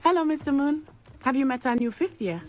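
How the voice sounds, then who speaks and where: A woman's voice: sits high, lively intonation, a little fast, soft background music. A woman playing a confident, eager teenage schoolgirl witch in a video game, friendly and politely formal.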